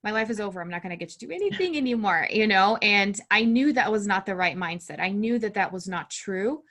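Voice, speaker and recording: A woman's voice: mid-range voice, animated, a little fast, fairly bright tone. A woman in her thirties from Brazil, whose first language is Portuguese, talking candidly as a coaching guest and laughing easily. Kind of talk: interview conversation.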